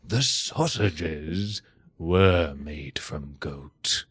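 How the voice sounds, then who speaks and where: A man's voice: rumbling low, extremely slow, a bit bright, breathy edge, dry recording, studio quality, voice pushed down, full character voice. A man improvising a comedy scene as a proud, theatrical warlord of goatherds, using pompous archaic phrasing. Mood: menacing.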